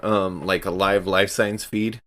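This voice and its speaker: A man's voice: deep voice, level tone, unhurried, a bit bright. A man playing a tabletop roleplaying game, improvising his character's actions in casual, informal speech.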